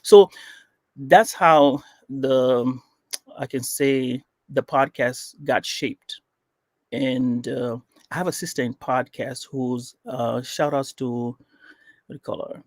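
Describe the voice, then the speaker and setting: A man's voice: medium pitch, slow, darkish tone, no room sound. A man from Kenya whose first language is Swahili, a guest on a podcast, telling his story casually with lots of fillers and restarts. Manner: rambling, with frequent fillers and restarts. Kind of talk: casual interview chat.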